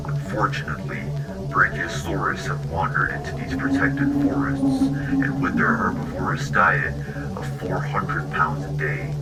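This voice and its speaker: A man voicing a grave, formal news anchor in a scripted horror broadcast, with measured, solemn delivery. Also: rumbling low, poor audio. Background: music.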